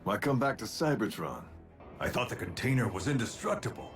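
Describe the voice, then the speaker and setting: A man voicing a brash robot warrior in an animated action series, laconic and wry with tough-guy swagger. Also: lowish voice, brisk. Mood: wry, cocky. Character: brash robot warrior, gruff cocky maverick soldier. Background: loud dramatic music and soundtrack.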